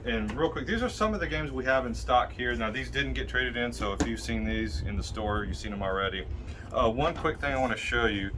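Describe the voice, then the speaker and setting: A man's voice: fairly low pitch, noisy background. A man in his fifties telling store stories in a casual, rambling way, filmed on a phone inside his game shop. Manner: chatty storyteller, rambling with restarts and asides. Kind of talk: casual store video chat. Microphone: phone camera held by a helper.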